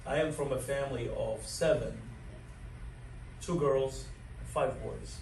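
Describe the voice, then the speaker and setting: A man's voice: medium pitch, takes it slowly, a little mellow. A man in his sixties from Morocco, telling his life story in an interview with plenty of fillers and chuckles. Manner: rambling, chuckles often, many fillers. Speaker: interview guest, dining director. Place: large learning center room. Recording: echoey room from a distance, faint noise.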